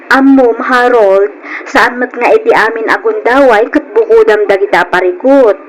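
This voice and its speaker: A woman's voice: fairly high pitch, moderate pace, close to an everyday voice, poor audio. A Filipino woman playing a soothing, attentive confidante in an old radio drama.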